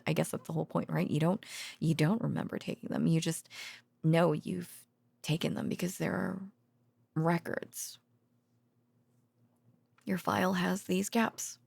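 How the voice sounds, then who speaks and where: A woman voicing a tender, weary researcher girlfriend in an intimate ASMR audio roleplay, speaking softly and hesitantly, partly in whispers, close to the microphone. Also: fairly low pitch, dry recording, exaggerated voice. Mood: wistful, uneasy. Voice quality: soft, breathy, partly whispered. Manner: hesitant, drowsy, musing, with sighs and soft chuckles.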